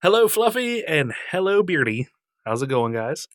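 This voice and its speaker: A man's voice: fairly high pitch, very animated, moderate pace. A man co-hosting a casual podcast, joking and teasing his co-host and laughing often, on a studio mic. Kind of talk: casual chat. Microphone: studio mic through a mixer.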